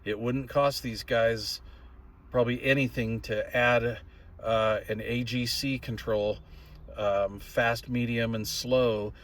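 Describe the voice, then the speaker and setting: An older man talks in a medium-pitched voice, casually reviewing equipment as a hobbyist. His delivery is folksy and plain-spoken. He rambles from point to point like someone chatting to regular viewers. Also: steady tone, takes it slowly, fairly bright tone. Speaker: radio hobbyist reviewer and video host. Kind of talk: casual product review vlog.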